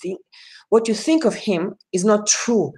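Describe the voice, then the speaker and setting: A woman's voice: mid-range voice, lively intonation, measured pace, mellow, poor audio. A woman with a Russian accent, a native Russian speaker, chatting casually on a live stream as a tarot reader, addressing viewers by name and laughing often.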